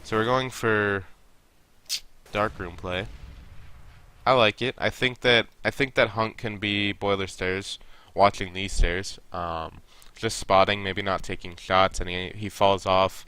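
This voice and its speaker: A man's voice: fairly low pitch, steady tone, a little slow, bright, forceful tone, smooth, no echo. A young man with a stuffy nose, casually reviewing a team's game and coaching the players directly. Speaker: esports coach reviewing a match.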